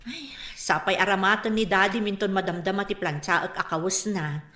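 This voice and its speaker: A woman's voice: medium pitch, normal speed, pushed and bright, echoey room, close to an everyday voice. A woman with a Filipino accent playing a defensive, spendthrift mother who argues dramatically in a comedy radio drama. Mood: bossy, excuse-making. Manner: argumentative, dramatic.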